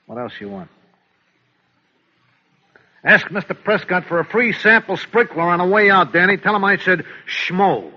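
An actor playing an evasive, cynical small-time gambler, slangy and glib, in a classic radio drama. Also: male voice, high voice, sing-song, normal speed, pauses often. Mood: irritated, cynical. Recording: dull, faintly noisy vintage radio recording.

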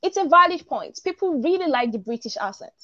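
A woman's voice: high voice, animated, fairly quick, a bit bright. A woman, speaking as a panelist in a live online discussion. She is direct and opinionated and says her piece plainly. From Nigeria. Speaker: guest panelist. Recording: dull lo-fi stream audio.

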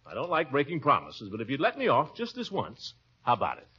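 A pompous, middle-aged uncle from a 1940s radio comedy. His forceful voice swoops dramatically from bluster to coaxing, with a husky edge. The vintage recording is muffled. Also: medium pitch, huge pitch swings, a little fast, heavily acted. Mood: wheedling.

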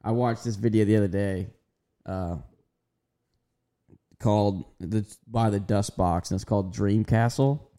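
A young man co-hosting a podcast in a loose, rambling way, easily breaking into laughter. His voice sits fairly low, with a dark, mellow warmth. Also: fairly even intonation, measured pace, pauses often. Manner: laid-back, rambling, laughs often. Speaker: podcast co-host. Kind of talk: casual chat.

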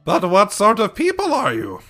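A grumpy old peasant woman, voiced by a man reading a story, sharp, grudging and quick to scold. Her voice is pushed well above his own and carries loudly. Faint music plays underneath. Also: high-pitched, wildly swooping pitch, voice pushed up, heavily acted.

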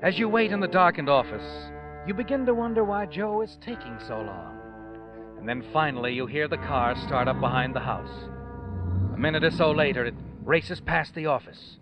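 Sly, high-pitched radio suspense narrator, acted by a man. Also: animated, moderate pace, close to an everyday voice. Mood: sly, ominous. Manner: sly, insinuating, addressing the character directly. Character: sly omniscient male narrator taunting a guilty schemer. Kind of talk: old-time radio suspense narration, second person. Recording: muffled vintage radio recording, music behind.

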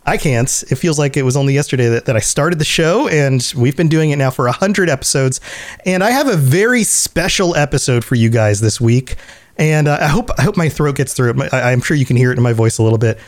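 A man hosting a podcast in casual chat, a bit hoarse from a sore throat, rambling and correcting himself. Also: mid-range voice, expressive, fairly quick, no room sound. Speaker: podcast host.

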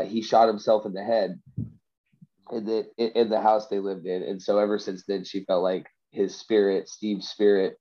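Stuffed-up, low-voiced man chatting casually. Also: dark tone, highs cut off. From Vancouver. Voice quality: congested, plugged-up nose. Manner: slangy, says bro, dude and you know. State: sick with a stuffy cold from covid. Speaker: podcast guest, hobby rapper and poet. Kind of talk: casual chat, personal storytelling.

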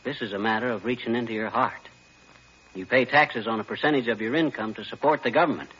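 An American actor playing a blustering, folksy, self-important husband in 1940s radio comedy, boastful and defensive in slangy speech. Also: male voice, medium pitch, fast, a bit bright, husky. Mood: earnest. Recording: dull, lo-fi 1940s radio recording.

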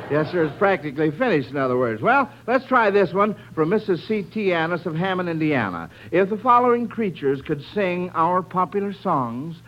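A man hosting an old-time radio quiz for children, repeating and affirming the answers he gets. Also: a little high. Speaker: quiz show host. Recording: dull, lo-fi vintage radio broadcast.

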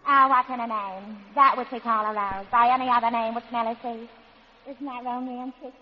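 A woman's voice: a little high, steady tone, moderate pace, natural voice. Woman playing pretentious Brooklyn stage diva. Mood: melodramatic. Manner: theatrical, pompous, slipping into brash slang. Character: pretentious would-be grand actress playing Juliet, brash underneath. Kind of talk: 1940s radio comedy acting. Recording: muffled old radio broadcast recording.

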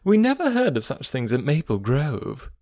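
A man's voice: medium pitch, huge pitch swings, moderate pace, a little mellow, no room sound, studio quality, voice pushed up. A man voicing a boastful, self-important young married lady in an audiobook of a classic novel, with an affected, showy, patronising delivery. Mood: smug, vivacious.

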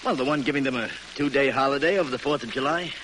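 A man's voice: mid-range voice, fast, fairly bright tone, close to an everyday voice. An actor playing a respectful, concerned union man, deferential and earnest, in 1940s radio drama. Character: respectful, concerned male union leader at a factory. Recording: noisy vintage radio drama recording.

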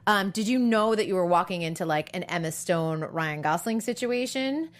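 A woman's voice: fairly low pitch, expressive, moderate pace. A woman hosting a casual interview, asking eager, bubbly questions. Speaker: interview host.

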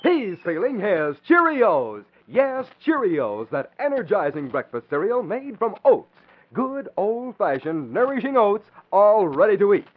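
A man's voice: high-pitched, very animated, unhurried, slight background noise. A man working as a radio announcer in a scripted 1940s style, enthusiastic and emphatic. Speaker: announcer and narrator. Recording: tinny, lo-fi old radio recording.

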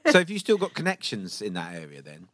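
Lively-voiced man hosting a podcast. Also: fairly low pitch, quick pace, no room sound. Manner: apologetic, playful, lots of ums. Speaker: podcast co-host. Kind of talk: casual podcast interview.

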